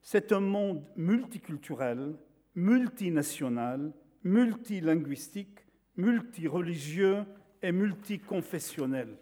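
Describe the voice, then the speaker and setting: A man from northeastern Syria whose first language is Syriac lays out his points in a measured, explanatory way. His voice sits a little high but sounds mellow and warm. He often repeats a word before moving on. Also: expressive. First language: Syriac (Aramaic). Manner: measured, explanatory, repeats words while searching. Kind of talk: lecture-like talk.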